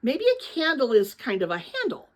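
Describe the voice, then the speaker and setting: Earnest young boy explorer, thoughtful, hushed, full of wonder, storybook read-aloud performed by a woman. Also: high voice, huge pitch swings, moderate pace. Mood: puzzled.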